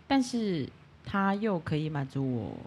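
A woman's voice: sits low, sing-song, a little slow, darkish tone, slightly rough. A woman with a Taiwanese accent talking casually and candidly as a podcast guest. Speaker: podcast guest, audio creator.

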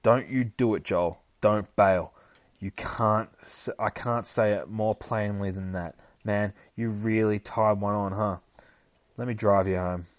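Grieving comic-book father, read by a man: middle-aged, lowish, halting and heartsore, dry close sound. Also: fairly even intonation, no echo, close to an everyday voice. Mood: pleading, weary.

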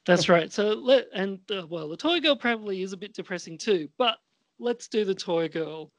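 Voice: mid-range voice, animated, normal speed, dry recording. A podcast co-host chatting casually in a hesitant, self-deprecating way. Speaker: co-host and script writer. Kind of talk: casual chat.

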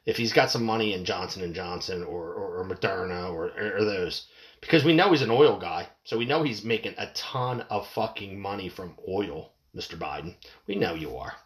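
A man's voice: low-pitched, lively intonation, normal speed. A man with a sniffly nose giving a heated, profane, rambling rant as he reacts to a news story. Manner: heated, swearing, rambling with restarts. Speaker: commentator.

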